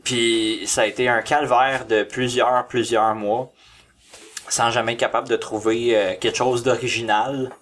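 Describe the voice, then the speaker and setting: Young male, Quebec French accent. Casual interview guest, sweary and informal, mid-pitched, faint noise behind. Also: flat delivery, measured pace, a bit bright. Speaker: podcast guest, indie film team member.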